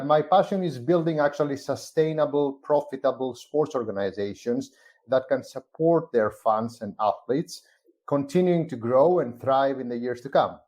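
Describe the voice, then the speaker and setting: A man's voice: medium pitch, darkish tone. Greek man presenting a book. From Greece. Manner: measured, with frequent "uh" fillers. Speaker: chief growth officer, co-author. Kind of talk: semi-scripted presentation on a live stream.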